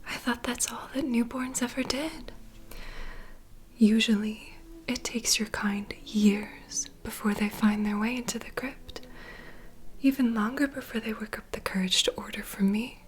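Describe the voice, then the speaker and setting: A woman playing a poised, courteous vampire bartender in a whispered ASMR roleplay, unhurried and softly teasing. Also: fairly high pitch, steady tone, slight background noise, close to an everyday voice. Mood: intrigued, welcoming. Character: poised, welcoming female vampire bartender, proud mixologist.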